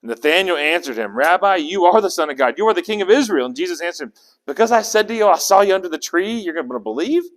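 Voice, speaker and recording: A man's voice: high-pitched, expressive, fast talker. A man leading a Bible study, talking conversationally and putting questions to his group. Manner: conversational, asks the group questions, restarts words. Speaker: Bible teacher. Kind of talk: interactive Bible study teaching. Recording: long echo of a hall.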